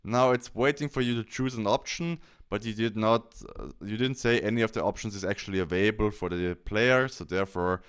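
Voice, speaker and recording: A man presenting a software tutorial, explaining each step as he goes. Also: fairly low pitch, fairly quick, few pauses, clean tone.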